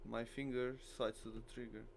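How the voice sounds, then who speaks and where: A man's voice: medium pitch, flat delivery, normal speed, creaky. A man who natively speaks Portuguese, reading a visual novel aloud as a game streamer, with faint noise behind him.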